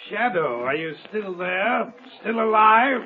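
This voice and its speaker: A tinny 1930s radio recording of a cold, gloating villain. His high, creaky voice calls out tauntingly, full of old crime-serial menace. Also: expressive, slow, exaggerated voice. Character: treacherous, gloating male murderer, cold villain. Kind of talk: 1930s radio drama acting.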